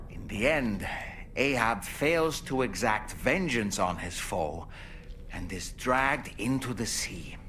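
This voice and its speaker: A man's voice: on the low side, fairly bright tone, a little hiss or hum. A man acting as a theatrical, wry storyteller, delivering dramatic scripted narration in a video game.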